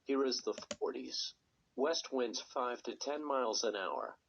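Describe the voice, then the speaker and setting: A synthetic text-to-speech male voice reading a scripted weather radio forecast in a measured, impersonal way. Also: mid-range voice, creaky. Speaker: automated weather radio announcer. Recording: dull lo-fi weather radio recording.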